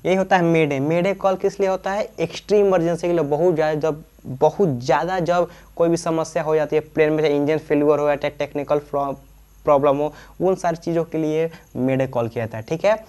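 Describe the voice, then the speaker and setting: A man's voice: a little high, fairly even intonation, brisk, darkish tone, smooth. A man, teaching informally, repeating himself and asking questions that he answers himself. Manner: repetitive, asks and answers own questions, frequent check-in tags. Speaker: teacher explaining aviation terms. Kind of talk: informal explainer lesson.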